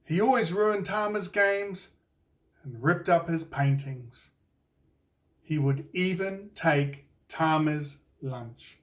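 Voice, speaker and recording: Slow New Zealand man reading a storybook. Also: a little high, far from the mic. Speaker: children's author reading his own book. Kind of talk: scripted storybook narration for children.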